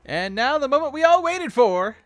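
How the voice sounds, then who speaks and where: A man's voice: very high-pitched, sing-song, normal speed, no room sound, soft background music. A man co-hosting a fan show, delivering scripted back-and-forth commentary.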